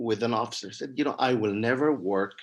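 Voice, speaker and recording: A man's voice: mid-range voice, racing. A man who owns a restaurant telling a panel how he started, conversational with frequent uhs and restarts. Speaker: restaurant owner. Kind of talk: panel discussion storytelling.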